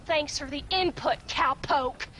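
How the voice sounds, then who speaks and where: A woman's voice: high-pitched, a little slow, a bit bright, a little hiss or hum. A woman voicing a bitter, grieving wife who nags her husband and mocks strangers with sarcasm. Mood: bitter sarcastic. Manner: sarcastic, scolding, rural drawl. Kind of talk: video game cutscene acting.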